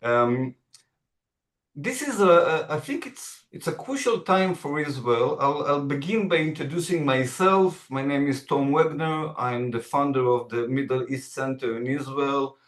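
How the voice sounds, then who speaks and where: A man's voice: mid-range voice, echoey room. Man speaking thoughtfully on a video call. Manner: measured, thoughtful, hesitant with restarts. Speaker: founder of a Middle East center, co-host. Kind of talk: conversational panel discussion.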